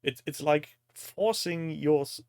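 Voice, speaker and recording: German guy streamer, teasing casual chat, higher voice, dry close sound. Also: takes it slowly, darkish tone, no echo. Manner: jokey, crude humour, teasing. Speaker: live stream host.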